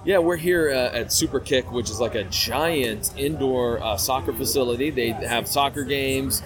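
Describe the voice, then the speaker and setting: A man's voice: medium pitch, moderate pace, crowd noise. A man hosting a podcast, talking in an upbeat, chatty, joking way. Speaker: podcast host. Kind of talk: casual podcast chat. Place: busy indoor expo hall.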